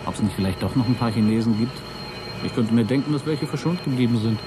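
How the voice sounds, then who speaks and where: An actor playing a cocky, wisecracking astronaut pilot in a German radio play, with a breezy, excitable manner. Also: male voice, fast, close to an everyday voice. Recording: noisy rumbling vehicle ambience.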